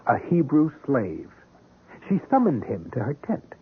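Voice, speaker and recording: An actor playing a wry, stubborn, educated police detective in a 1970s radio drama, with a dry, polite, hesitating delivery. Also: male voice, mid-range voice, wildly swooping pitch, a little slow, a little hiss or hum. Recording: muffled, narrow-band old radio broadcast.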